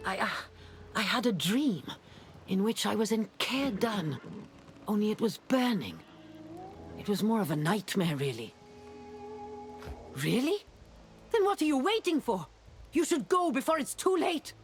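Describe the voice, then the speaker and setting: A woman's voice: medium pitch, sing-song, unhurried, pauses often, faint music behind. An actress playing a dreamy, eccentric female mage in a video game, with a musing, theatrical delivery. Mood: urgent. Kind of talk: video game character acting.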